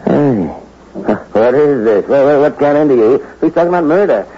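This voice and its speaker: An actor playing a tough, world-weary, lovestruck small-time crook in a 1960s radio crime drama. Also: male voice, medium pitch, lively intonation, moderate pace, breathy edge. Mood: alarmed. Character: hard-boiled, lovestruck small-time crook telling his story. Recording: dull old radio recording, loud music.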